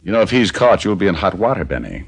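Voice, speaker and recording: A man's voice: low voice, sing-song, quick pace, creaky, slightly rough, heavily acted. An American man playing a wry, wisecracking private detective in an old-time radio drama, with dry, sardonic banter and first-person narration. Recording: old radio broadcast recording.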